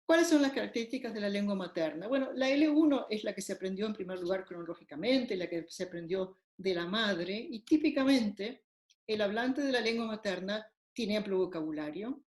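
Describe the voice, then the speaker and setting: A woman's voice: medium pitch, expressive, darkish tone. A woman linguistics lecturer giving a recorded academic lecture, explaining ideas step by step with occasional fillers. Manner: measured, explanatory, with frequent fillers. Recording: echoey room.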